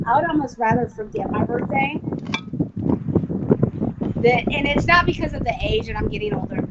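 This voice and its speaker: A woman's voice: high-pitched, big pitch swings, normal speed, dull audio, heavy background noise. A woman in her forties co-hosting a casual online show, talking candidly and animatedly, with lots of filler words and the odd swear. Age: 40s. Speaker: co-host. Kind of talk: casual chat.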